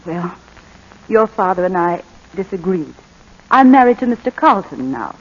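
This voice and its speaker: A woman's voice: lowish voice, big pitch swings, moderate pace, husky. A woman playing a tender, anguished mother in a 1940s radio drama. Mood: tender, hesitant. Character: tender, anguished mother pleading with her estranged son. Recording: muffled old 1940s radio recording.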